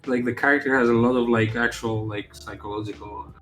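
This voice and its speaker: A man's voice: mid-range voice, steady tone, brisk, fairly bright tone, echoey room, faint music behind. A man game developer chatting casually on a live stream, rambling with lots of "like" fillers and the odd swear word.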